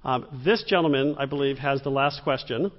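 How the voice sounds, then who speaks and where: A middle-aged man moderating a public Q&A onstage, brisk and prompting. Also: medium pitch. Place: synagogue stage before an audience. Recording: dull echoey hall recording.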